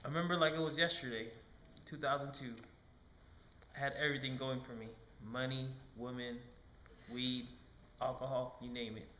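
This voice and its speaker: A rueful blind puppet voiced by a man tells his story in a scripted film monologue. The voice sits at an ordinary male pitch in an echoey room, with faint noise behind it. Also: steady tone, normal speed, bright, forceful tone, lightly acted. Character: blind diabetic male puppet recalling wild past, rueful.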